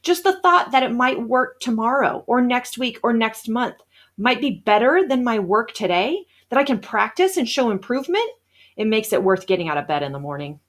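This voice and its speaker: A woman's voice: fairly high pitch, sing-song, moderate pace. A woman reading a storybook, voicing a small, nerdy, starry-eyed genius boy who lectures earnestly.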